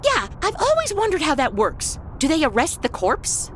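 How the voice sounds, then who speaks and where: Woman playing a high-pitched, sassy girl angel. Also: huge pitch swings, normal speed, a little hiss or hum. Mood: sarcastic. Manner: sarcastic modern slang, blunt. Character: sassy, flippant young female guardian angel. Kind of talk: comedy audio drama acting.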